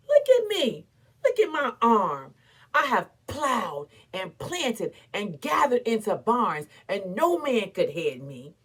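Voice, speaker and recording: Woman doing a dramatic old speech, proud n defiant, husky voice, slow and swinging all over. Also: a little high, a bit bright. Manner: impassioned, emphatic, with repeated phrases and long dramatic pauses. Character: impassioned, defiant woman orator, formerly enslaved, weary but proud. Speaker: performer reciting a historical speech. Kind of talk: dramatic theatrical recitation of an oratory monologue.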